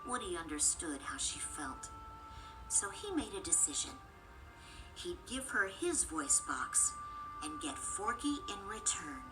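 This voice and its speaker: A woman's voice: lowish voice, very animated, unhurried, rough recording. A woman reading a scripted children's story as the narrator, with music and sound effects behind the voice. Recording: loud background music bed.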